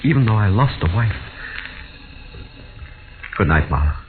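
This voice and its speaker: A mournful, betrayed rich man, lively pitch. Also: a little slow. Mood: bitter, resigned. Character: wealthy betrayed husband in a wheelchair, subdued, bitter. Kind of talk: 1940s radio drama acting. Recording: lo-fi old radio broadcast.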